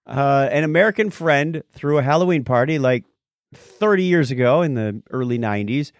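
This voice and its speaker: A man's voice: mid-range voice, lively intonation, moderate pace, no echo, studio quality. A man co-hosting a podcast with casual, joking banter and readings of listener messages. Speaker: podcast co-host.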